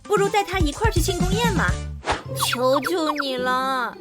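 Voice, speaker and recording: A woman's voice: extremely high pitch, lively intonation, normal speed. A woman playing a playful, outspoken young police colleague in an animation dub. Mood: wheedling. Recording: loud background music over dialogue.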